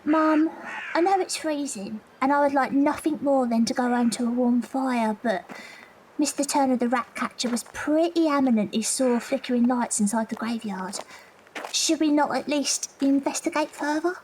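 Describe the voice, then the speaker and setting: A woman playing an earnest, loyal young Victorian London maid and investigator, deferential and breathy, in an audio drama. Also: high voice, moderate pace, a little mellow, slight background noise, lightly acted, poor audio. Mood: anxious persuasion.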